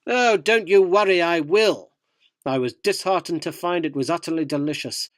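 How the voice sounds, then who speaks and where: A man's voice: on the high side, normal speed, no room sound, clean studio sound, natural voice. A man playing a cheeky, cynical yuppie journalist who narrates a radio drama in a wry, provocative way.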